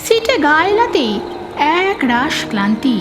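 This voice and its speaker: A woman's voice: high voice, sing-song, a little slow. A woman narrating a scripted horror story in a dramatic storytelling style. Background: prominent background music.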